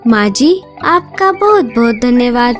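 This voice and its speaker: Woman doing a high-voiced cartoon mother sparrow, anxious, slow, soft music under. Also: lively intonation. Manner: earnest, anxious. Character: worried loving mother sparrow.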